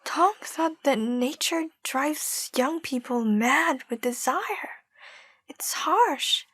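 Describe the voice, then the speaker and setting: A woman's voice: high voice, lively intonation, takes it slowly, a bit bright, dry recording, heavily acted. A woman acting a thoughtful young Hong Kong woman in conversational drama dialogue. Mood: reflective. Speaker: actor in a student play.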